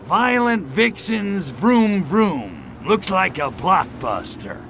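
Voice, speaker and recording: A swaggering alien invader from a video game. He is gravelly and sharp-edged, with a swooping, sarcastic delivery. He sounds crude and itching for a fight, over faint background noise. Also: high-pitched, sing-song, slow, full character voice. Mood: cocky, joking. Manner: sarcastic, smart-alecky drawl. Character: cocky, wisecracking male alien invader, crude and trigger-happy. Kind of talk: video game cutscene acting.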